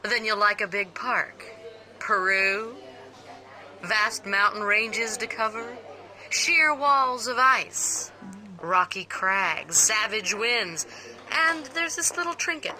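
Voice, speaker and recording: An actress playing a commanding, wealthy businesswoman in a video game, speaking in a deliberate, dramatic sales pitch. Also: lively intonation, bright, forceful tone, soft background music, a faint crowd, exaggerated voice. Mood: grandly enticing. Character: commanding, wealthy businesswoman offering a dangerous job.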